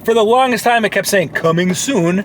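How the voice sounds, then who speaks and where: Male co-host, high animated voice, blunt and profane, casual movie banter, noise behind. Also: fairly quick. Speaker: co-host of brothers' movie review vlog. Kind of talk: casual chat, movie talk. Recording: noisy in-car recording.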